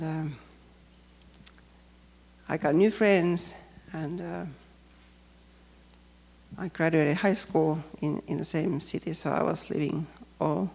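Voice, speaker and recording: A woman from Finland with a Finnish accent, speaking English as a second language and giving a personal testimony in a careful, hesitant way with frequent pauses. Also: deep voice, vocal fry, a little mellow. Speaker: church member giving testimony.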